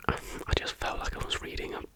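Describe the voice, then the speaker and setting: Male ASMR host, breathy unvoiced whisper throughout, soft and deliberate storytelling.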